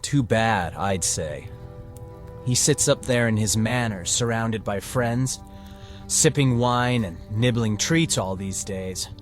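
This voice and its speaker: A man's voice: fairly low pitch, faint music behind. An actor playing a despairing, bitter young male farmer, brooding and heavy in an audio drama. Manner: slow, brooding, heavy delivery.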